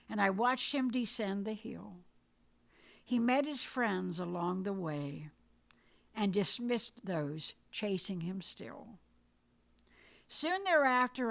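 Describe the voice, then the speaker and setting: A woman reading her own scripted poetic story aloud in a measured, deliberate storytelling manner. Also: mid-range voice, vocal fry, a bit bright. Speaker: poet reading own work. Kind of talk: dramatic poetry reading, scripted narration.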